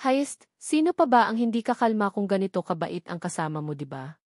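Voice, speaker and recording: Female narrator, Filipino accent, scripted romance storytelling, low-set voice for a woman kept mid-range, dry close sound. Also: very animated, a little fast, darkish tone, dry recording. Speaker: audiobook narrator. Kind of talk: scripted first-person romance novel narration.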